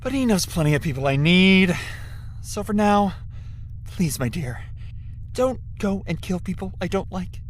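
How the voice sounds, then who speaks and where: A man's voice: sits high, expressive, a little slow, pauses often, slight background noise. A man playing a suave, doting game show boss in an audio drama, talking in a wry, theatrical way. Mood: amused, fond.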